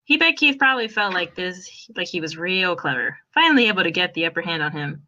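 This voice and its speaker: A woman narrates a story in a bright, forward voice at a middle pitch. Her reading is expressive and wry, leaning into dramatic emphasis like a fan reading a favourite tale. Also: brisk, slight background noise.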